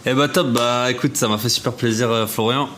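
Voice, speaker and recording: A man's voice: medium pitch, fairly even intonation, fairly quick, fairly bright tone, a little hiss or hum. A man hosting a podcast interview, chatting loosely in an apartment with lots of fillers and restarts. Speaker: podcast host. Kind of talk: casual interview chat. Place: apartment rental abroad.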